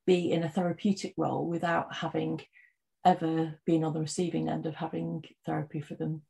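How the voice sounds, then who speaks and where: A podcast host who answers the guest in a measured way and keeps qualifying his points. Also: medium pitch, completely flat delivery, creaky, warm tone, slightly rough. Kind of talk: interview discussion.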